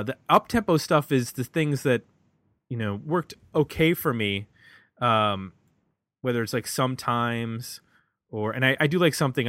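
An American man talks through music in a casual podcast style, in a middling pitch. He is reflective and halting, working out his thoughts as he goes, and the sound is dry with very little room. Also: fairly bright tone, no echo. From United States. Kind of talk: casual album discussion.

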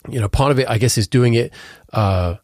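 A man's voice: medium pitch, fairly quick, darkish tone, no echo, clean studio sound. A man in his thirties with a stuffed-up cold, chatting casually about chord voicings in a rambling music lesson, piano playing in the mix. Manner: rambling, restarts and fillers, occasional hummed notes. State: congested with a cold. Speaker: musician teaching composition.

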